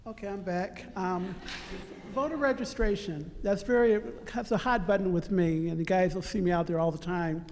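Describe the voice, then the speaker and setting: A man speaking up at a community meeting, direct and animated. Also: high-pitched, moderate pace. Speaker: community participant. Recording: reverberant hall with faint noise.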